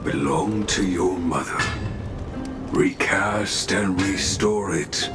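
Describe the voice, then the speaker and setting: An actor playing a cryptic, patient old master craftsman in a video game, speaking deliberately with inverted word order. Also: low-pitched, loud music behind the voice. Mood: calm, knowing. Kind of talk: dramatic video game voice acting.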